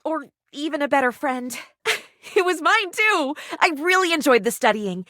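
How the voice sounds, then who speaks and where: Eager, high-voiced, quirky young lady doctor. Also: very animated, moderate pace, pushed and bright, slight background noise, heavily acted. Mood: excited, grateful. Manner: theatrical, gushing, old-fashioned whimsical wording. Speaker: voice actress in a dating game. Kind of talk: animated video game character acting.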